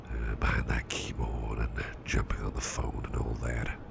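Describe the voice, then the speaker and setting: A man playing a gentle, protective boss in an ASMR roleplay, speaking in a soft, breathy, soothing near-whisper with an unhurried manner. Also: rumbling low, noisy background, heavily acted. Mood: musing, soft. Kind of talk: ASMR roleplay, close personal attention.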